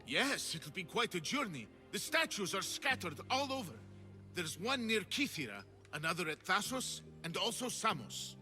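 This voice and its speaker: Game role: effusive sculptor, high bright voice, swooping delivery. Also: male voice, sing-song, normal speed, faint music behind, exaggerated voice. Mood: rapturous. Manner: theatrical, effusive, flowery. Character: excitable, flowery male artist of ancient Greece. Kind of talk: video game cutscene acting.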